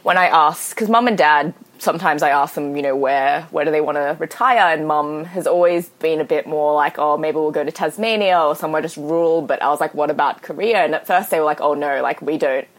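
A woman's voice: deep voice, brisk, few pauses. A young woman, talking in a rambling, casual way full of "like". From Australia. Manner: rambling, heavy use of "like". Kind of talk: casual conversation.

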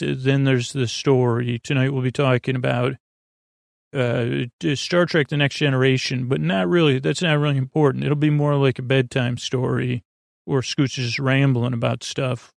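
A middle-aged man with a gravelly voice hosting a bedtime podcast, talking in a halting, meandering monologue that keeps interrupting itself. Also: medium pitch, level tone, no room sound, clean studio sound. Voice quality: gravelly, creaky. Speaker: podcast host.

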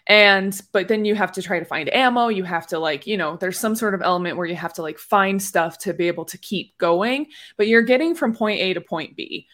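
A woman's voice: on the low side, a bit bright. A woman chatting informally and playfully as a panelist in a podcast discussion. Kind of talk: casual chat, podcast discussion.

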